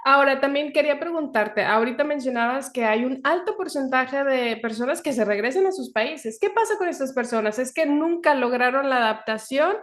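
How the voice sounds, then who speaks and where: A woman's voice: high voice, lively intonation, fairly quick, few pauses. A woman hosting an interview, agreeing conversationally and asking follow-up questions. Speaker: host. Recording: echoey room.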